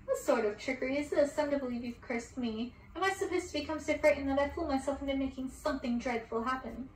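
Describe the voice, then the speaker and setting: A woman's voice: sits high, fast, close to an everyday voice. A woman voicing an arrogant young prince in a storybook reading, haughty and scoffing. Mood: scornful. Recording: distant roomy mic with background hiss.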